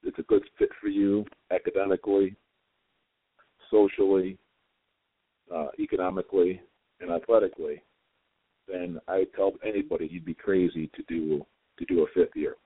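A hoarse man calling in as a guest on a radio show, talking haltingly with a lot of fillers. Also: deep voice, little pitch movement, no echo. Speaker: prep school guest. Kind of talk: phone interview. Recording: dry, tinny phone line.

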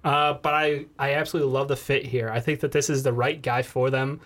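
A man's voice: medium pitch, flat delivery, brisk, fairly bright tone. A man co-hosting a casual sports podcast, talking in a loose, slangy, rambling way. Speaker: podcast co-host.

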